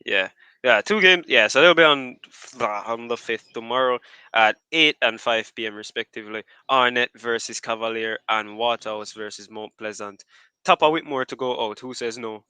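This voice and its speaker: A man's voice: medium pitch, moderate pace, bright, forceful tone, clean tone, no echo. A man hosting a podcast in casual chat, rambling and restarting as he keeps wrapping up. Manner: rambling, restarts often, wraps up repeatedly. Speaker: podcast host.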